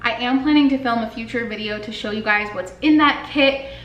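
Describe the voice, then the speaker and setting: A woman's voice: fairly high pitch, a little fast, highs cut off. Chatty young woman in an echoey room. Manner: chatty, upbeat, lots of "like" fillers. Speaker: lifestyle vlogger and artist. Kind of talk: casual show-and-tell. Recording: echoey room with faint hiss.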